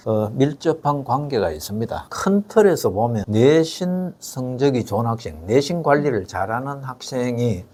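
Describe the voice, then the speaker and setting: An older man speaking sits as the expert guest and lays out his points in a steady, measured way. He pauses on soft fillers and often turns his explanations into rhetorical questions. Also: medium pitch. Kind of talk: explanatory interview talk.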